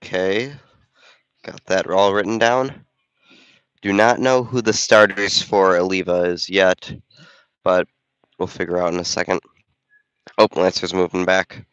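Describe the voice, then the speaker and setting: A man's voice: low-pitched, flat delivery, unhurried, pauses often, a bit bright, clean tone, no room sound, dull audio. A man doing casual play-by-play commentary while also running the camera, congested from a cold, chatting and thinking aloud. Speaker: volunteer game commentator and camera operator. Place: school gym during a basketball game.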